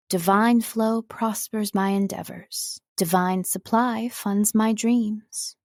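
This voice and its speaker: A woman reading scripted narration as a voice-over artist, measured and carefully enunciated. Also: medium pitch, vocal fry, dry recording, studio quality.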